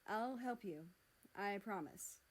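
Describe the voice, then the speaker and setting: A woman's voice: mid-range voice, animated, slow pace. A woman voicing a cocky, sarcastic young male character, a teasing big brother with a casual, slangy manner. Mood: sympathetic. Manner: casual, sarcastic, foul-mouthed.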